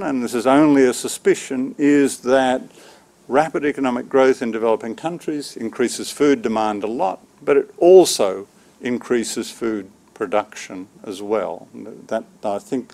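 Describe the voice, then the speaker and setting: Male, sixties, keynote lecturer at a research seminar. Medium pitch, measured and halting phrasing, podium mic. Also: expressive, gravelly, a little mellow. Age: 60s. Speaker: keynote speaker, agricultural economics researcher. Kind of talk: academic seminar lecture from slides. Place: seminar room with small audience, indoors. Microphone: podium microphone.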